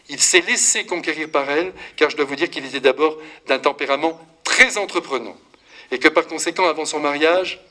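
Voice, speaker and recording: Un prêtre d'une cinquantaine d'années prêche un sermon avec vivacité, taquine l'assemblée et s'adresse directement à elle. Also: male voice, a little high. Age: 50s. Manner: lively, teasing, talks straight to the congregation. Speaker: priest.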